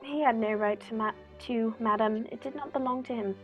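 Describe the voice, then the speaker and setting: Gentleman detective for radio play, female performer: fairly high voice, polite, self-assured, formal. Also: highs cut off, soft background music, natural voice. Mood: politely insistent. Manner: polite, measured, formal, knowing. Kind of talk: 1940s radio play acting.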